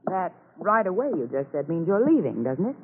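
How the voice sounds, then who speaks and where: A woman's voice: on the low side, sing-song, normal speed, breathy edge. A woman playing a sly, self-assured female lawyer, arch and pushy, in a 1950s radio drama. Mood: coy. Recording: tinny old radio recording.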